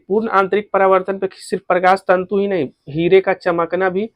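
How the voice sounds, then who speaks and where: A man's voice: fairly high pitch, no room sound. A man with a Bihari accent teaching exam questions as a tutor, repeating each question and answer and mixing in English terms.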